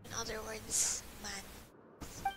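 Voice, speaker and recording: A woman's voice: on the high side, level tone, very slow, very noisy. A woman giving casual, reactive gameplay commentary, rambling and often repeating her words. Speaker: game streamer. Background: loud game music.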